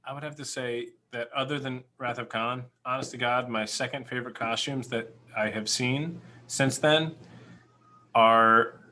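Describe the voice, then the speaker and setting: A man's voice: medium pitch, fairly even intonation, unhurried, pushed and bright. A man co-hosting a casual fan podcast, emphatic and opinionated, repeating words for stress. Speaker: podcast co-host.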